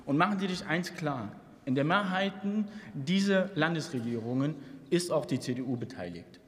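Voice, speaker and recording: A man's voice: on the high side, expressive, normal speed, background noise. A young man from eastern Germany giving a combative, impassioned parliamentary speech at a lectern microphone. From Saxony, eastern Germany. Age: young adult. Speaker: member of parliament. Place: large parliament chamber. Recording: reverberant chamber with audience murmur.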